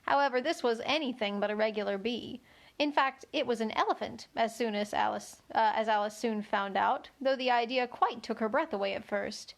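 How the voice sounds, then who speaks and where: High-voiced woman reading a children's story. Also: moderate pace, gravelly. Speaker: storybook reader. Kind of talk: children's story read-aloud.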